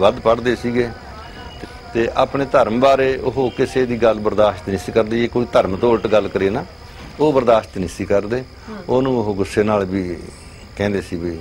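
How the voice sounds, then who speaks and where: Older male interviewee, extremely quick delivery, mid pitch, faint insect-like location noise. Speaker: interviewee, former religious school teacher. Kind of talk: interview answers.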